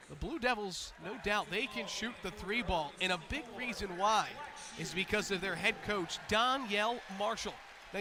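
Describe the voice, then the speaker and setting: An American man doing energetic live basketball play-by-play commentary, building to excited calls on big plays. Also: high-pitched, noisy background.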